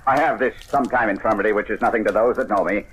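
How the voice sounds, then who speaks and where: A man's voice: lowish voice, rapid-fire, close to an everyday voice. An actor playing a tormented, ruthless usurper king in a theatrical, declamatory old radio drama style. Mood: shaken, uneasy. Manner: theatrical, declamatory, swinging from hushed brooding to cries. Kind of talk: classic radio drama acting. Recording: noisy old radio recording.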